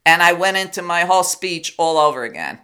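Loud, bright-voiced woman radio host. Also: lowish voice, animated, smooth. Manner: emphatic, storytelling, quick asides. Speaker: radio talk show host. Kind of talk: casual radio interview.

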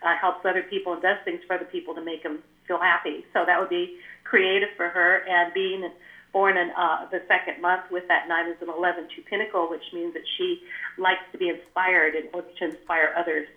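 A woman's voice: on the low side, little pitch movement, fairly quick. An older woman from California, a spiritual guest talking earnestly in flowing explanations, her voice a little scratchy after the flu. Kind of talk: casual chat. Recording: tinny phone-like line, echoey room.

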